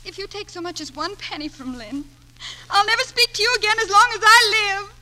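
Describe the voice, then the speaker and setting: A woman playing a high-strung, petulant teenage daughter with theatrical delivery in a 1940s radio drama. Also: lively intonation, a little fast, pushed and bright, full character voice. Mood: angry, hurt. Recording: noisy old 1940s radio broadcast.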